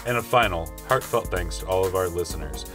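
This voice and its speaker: A man's voice: sits low, fairly quick. A man hosting an audio comedy show, reading scripted credits and mock sponsor messages. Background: music.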